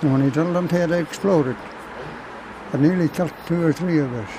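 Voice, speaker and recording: A man's voice: fairly high pitch, quick pace, noisy background. An older retired miner chatting in an interview, rambling and restarting his words. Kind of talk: casual interview chat. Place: outdoors at a tournament venue.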